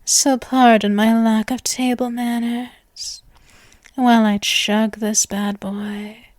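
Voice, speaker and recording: A woman's voice: fairly high pitch, level tone, slow pace, darkish tone, no room sound. A woman voicing an obsessive, doting, gleefully morbid young vampire in an intimate, theatrical ASMR roleplay monologue. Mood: delighted, gushing. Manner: gushing, theatrical, breathy asides, lovestruck.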